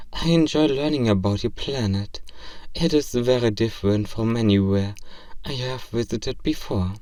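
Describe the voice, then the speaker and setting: A polite robot from another world , acted by a man, speaks slowly in formal, stilted sentences. He is earnest and curious, naive about human romance, in a roleplay audio drama. The voice sits a little away from the microphone. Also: mid-range voice, darkish tone, natural voice. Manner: formal, stilted, literal, precise phrasing. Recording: roomy, slightly distant microphone.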